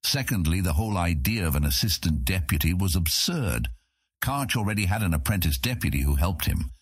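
Deep, husky, expressive male narrator. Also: dry recording, studio quality. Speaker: audiobook narrator. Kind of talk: scripted fantasy story narration.

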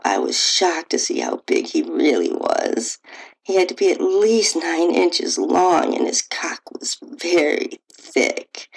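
A woman narrating a first-person erotic story in a breathy, sultry manner that drops into near-whispers. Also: low voice, darkish tone, low-quality recording. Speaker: podcast host and author reading own story.

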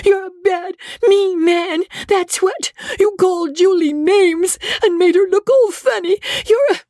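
A woman voicing an overwrought, fiercely loyal young girl in an audiobook, shouting and wailing through tears. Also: extremely high pitch, fairly even intonation, a little slow, no echo, clean studio sound, pitched above the performer's own voice. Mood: tearful fury.

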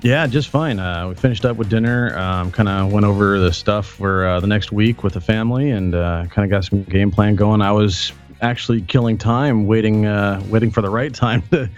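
A man talking as a guest in a casual chat, relaxed and easygoing, his voice still recovering from a sore throat. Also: fairly low pitch, normal speed, hardly any pauses, lo-fi sound, faint music behind. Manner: relaxed, easygoing, filler words. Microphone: internet call.

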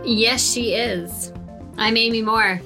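A woman's voice: fairly high pitch, steady tone, slow pace, over music. A woman chatting casually as a player on a tabletop game podcast. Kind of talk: casual chat.

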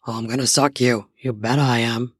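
A woman voicing a confident, eager adult male lover in an erotic audio story, murmuring low and slow. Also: steady tone, breathy edge, no room sound, studio quality. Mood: lustful.

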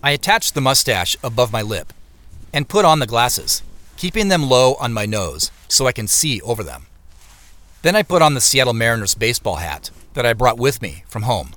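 An actor playing a weary, wry man who narrates his own secret quest in an audio drama, in a measured way. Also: mid-range voice, sing-song, a bit bright, dry recording. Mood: measured, watchful. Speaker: narrator and lead character. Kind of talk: first-person audio drama narration and dialogue.

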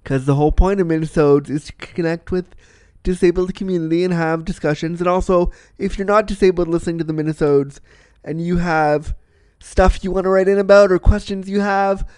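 A man's voice: sits high, fairly quick, a little mellow, smooth, dry recording. A man hosting a podcast, chatting casually and rambling, sniffly with a cold. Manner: chatty, rambling, repeats himself. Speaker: podcast host. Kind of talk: casual solo podcast chat.

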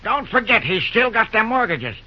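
Male actor as cautious country railroad hand, raspy, high voice, rustic dialect, vintage radio play. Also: big pitch swings, normal speed, full character voice. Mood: worried, cautioning. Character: wary, worried backwoods railroad hand. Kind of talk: old-time radio drama acting. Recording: muffled old-time radio recording.

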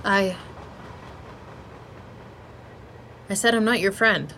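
A woman's voice: medium pitch, brisk, bright, forceful tone, slight background noise. A woman acting a brash, foul-mouthed, blunt but caring young woman in a naturalistic audio drama. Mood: defiant, cutting. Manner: blunt, slangy, sweary.

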